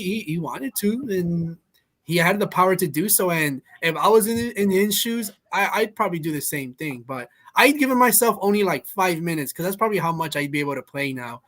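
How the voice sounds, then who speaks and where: A man's voice: high-pitched, lively intonation, moderate pace, a bit bright. A man in his twenties, a soccer player guest, chatting casually on a podcast with many restarts and fillers. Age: 20s.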